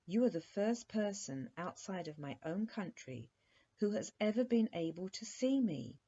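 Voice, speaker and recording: A courteous young prince from a bedtime storybook, acted out by a woman reader. He is wistful, polite and plaintive, in a dark, husky tone. The sound is a touch lo-fi. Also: medium pitch, animated, moderate pace, pitched above the performer's own voice. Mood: politely earnest. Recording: dull, muffled lo-fi recording.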